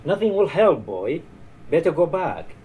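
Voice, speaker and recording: Man voicing a shouting, raised-pitch disembodied voice. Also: sing-song, a little slow. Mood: harsh warning. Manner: shouting, dismissive. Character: harsh, discouraging disembodied metallic voice. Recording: live bar event, faint background noise.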